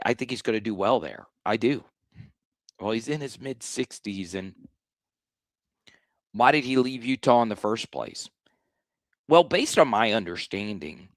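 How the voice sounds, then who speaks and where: A man with a slightly hoarse voice hosting a live sports talk stream, blunt and animated, often restarting his sentences. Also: medium pitch, no room sound. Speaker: sports show host.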